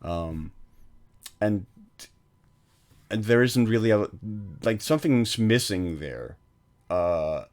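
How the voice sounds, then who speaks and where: Low-voiced hesitant man on a film podcast. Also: animated, slow, pauses often. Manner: hesitant, many fillers, trailing off quietly. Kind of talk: casual chat.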